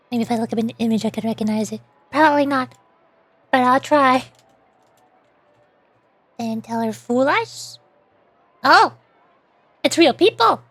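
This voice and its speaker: A woman's voice: high voice, dry recording. A woman chatting casually on a live game stream as a virtual YouTuber, rambling along as she talks. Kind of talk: casual live stream chat while reading game dialogue.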